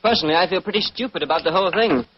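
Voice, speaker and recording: Guy acting as a stuffy young doctor, talks quick, gentlemanly, muffled old radio drama. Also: high voice, slight background noise, close to an everyday voice. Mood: rueful, polite. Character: stiff, slightly indignant young English doctor. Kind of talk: 1940s radio mystery acting. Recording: muffled 1940s radio broadcast.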